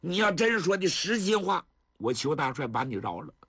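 A man's voice: fairly high pitch, very animated, moderate pace, a bit bright, slightly rough, dry recording, clean studio sound, pitched above the performer's own voice, heavily acted. A male storyteller playing a blunt old general in his eighties, scolding and coaxing a young man in an earthy, exasperated way.

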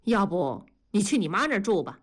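A woman's voice: mid-range voice, sing-song, brisk, husky. Role: worn-out middle-aged dad, clipped questions, read by a woman. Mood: weary. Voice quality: tired, curt. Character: weary middle-aged father, worried and tired.

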